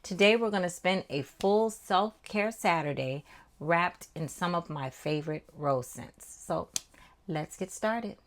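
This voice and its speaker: A middle-aged woman with a naturally deep voice, a little under the weather, chatting casually and folksily to her viewers as a vlog host. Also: animated, measured pace, slight background noise. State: voice affected by recent illness. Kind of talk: casual chat to viewers about perfume.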